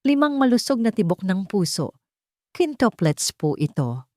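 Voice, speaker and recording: A woman voicing an elderly male doctor in a romance story, polite and halting. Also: medium pitch, big pitch swings, darkish tone, dry recording, clean studio sound, voice pushed up, close to an everyday voice. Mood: amazed, hushed. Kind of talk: audio romance story dialogue.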